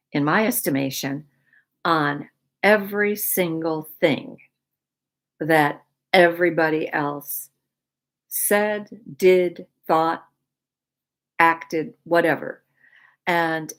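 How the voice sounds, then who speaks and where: An American woman hosting her own video, explaining things reflectively to viewers in deliberate, halting phrases with pauses. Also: low-pitched. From Michigan, United States (born in Poland). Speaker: video series host.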